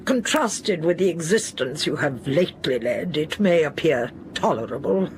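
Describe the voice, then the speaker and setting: An actress playing an exacting, irritable, frail elderly spinster in a literary audio drama, imperious and fretful. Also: female voice, sits low, expressive, normal speed, background noise. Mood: stern.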